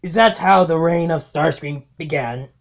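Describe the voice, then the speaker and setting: A man's voice: a little high, moderate pace, gravelly. A man voicing a domineering robot warlord in a cartoon-style comic dub, delivering theatrical, menacing monologues full of cold mockery. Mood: cold contempt. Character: domineering robot warlord, male, coldly mocking his underling. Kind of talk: amateur comic dub acting.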